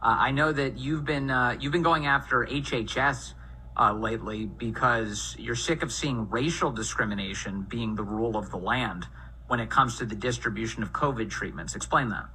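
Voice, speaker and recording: A male talk radio host questioning his guest with indignant, rapid-fire delivery. His voice is very bright and cutting. A faint hum of ambient noise sits behind him. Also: mid-range voice, rough recording.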